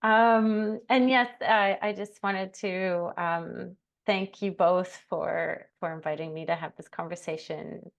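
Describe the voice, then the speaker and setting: Woman, low pitch, gravelly, hesitant, many fillers, reflective, video call. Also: steady tone, slow pace, hardly any pauses. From Canada. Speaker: curator and artist. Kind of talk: reflective conversation, self-introduction.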